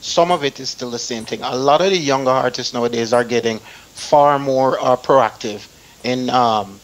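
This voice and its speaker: A man's voice: mid-range voice, normal speed, rough recording. Man who stammers and repeats words. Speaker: talk show panellist. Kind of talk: casual panel discussion.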